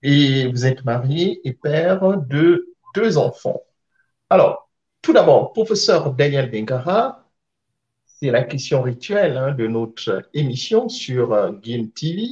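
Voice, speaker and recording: A man co-hosts a live interview over a video call, with a mid-range voice. He speaks formally but with many drawn-out hesitations, and the sound is dull and lo-fi. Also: mid-range voice, big pitch swings, darkish tone. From Gabon. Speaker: co-host.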